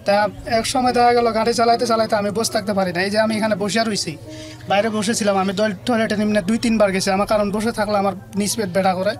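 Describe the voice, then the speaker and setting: A man's voice: high-pitched, flat delivery, very fast, soft background music. A man with a Bangladeshi accent, an interview guest telling his own life story in colloquial, earnest, conversational speech.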